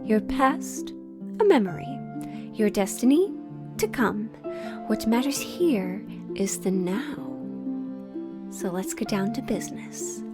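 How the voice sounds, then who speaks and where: Slow, coy witch fortune teller, acted by a woman. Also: medium pitch, expressive, music in the background. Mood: teasing, mysterious. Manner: slow, coaxing, endearments, dramatic pauses, breaks into near-whispers. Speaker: tarot reader. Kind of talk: roleplay audio drama, spoken to the listener.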